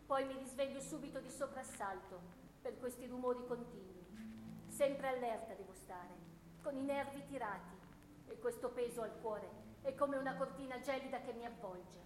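A woman's voice: a little high, normal speed, natural voice. A woman playing an anguished, sleepless and disillusioned soldier at war, declaiming solemnly in a theatrical radio play monologue. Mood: anguished, weary. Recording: echoey hall with clear background noise.

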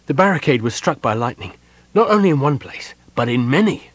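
A man's voice: mid-range voice, big pitch swings, fairly quick, no room sound, voice pushed up. A man acting an alarmed elven soldier who reports urgently to his commander in an audiobook. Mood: anxious. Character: alarmed young male elven soldier messenger.

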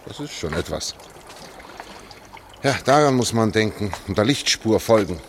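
A man playing a gruff, sardonic working man who guides an escape in a radio drama, with a dry, brusque delivery. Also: fairly low pitch, big pitch swings, normal speed. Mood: dry, mocking. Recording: trickling water noise behind the voice. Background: trickling, dribbling water.

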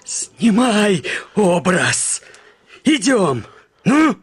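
A man's voice: high-pitched, sing-song, drawn-out words, exaggerated voice. An actor playing a scheming, bossy old father in a melodramatic radio comedy. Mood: conspiratorial, urgent. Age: older. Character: scheming old father, excitable, eager to trap a suitor. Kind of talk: radio play comedy acting.

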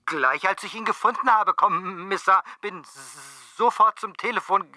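A man's voice: sits high, measured pace, pushed and bright, full character voice. An actor playing a shaken, tipsy, stammering male policeman calling over the telephone in a German radio play. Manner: agitated, stammering.

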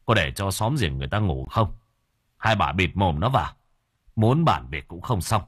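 A man voicing a grumpy old man with a bandaged head, gruffly scolding a noisy household. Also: low-pitched, animated, moderate pace, a bit bright, no room sound, clean studio sound, exaggerated voice. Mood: irritated scolding.

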